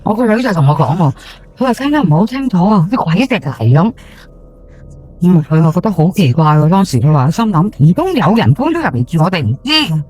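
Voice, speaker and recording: A woman's voice: on the low side, big pitch swings, a little mellow. A woman playing a chatty, superstitious housewife in her sixties with a Hong Kong accent, telling a ghost story in a colloquial, gossipy way. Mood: gossipy, spooked. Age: 60s. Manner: colloquial, gossipy, with drawn-out sentence particles and exclamations. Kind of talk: first-person ghost story monologue for audio drama.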